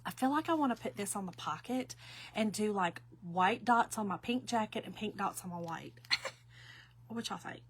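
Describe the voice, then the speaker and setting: A woman in her early fifties walks viewers through a craft project in a loose, talkative way. Her voice has a bright, forward edge, and she keeps checking in with the audience as y'all. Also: medium pitch, expressive, moderate pace, breathy edge. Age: 50s. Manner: chatty, folksy, addresses viewers as y'all. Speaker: craft demonstrator. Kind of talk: casual craft tutorial.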